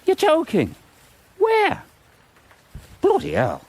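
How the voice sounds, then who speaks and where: An actor playing a roguish, lovelorn soldier in a First World War radio drama, with a very animated delivery. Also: male voice, very high voice, slow, full character voice. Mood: cheeky, amused. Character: roguish, lovelorn Irish soldier in WW1 trenches.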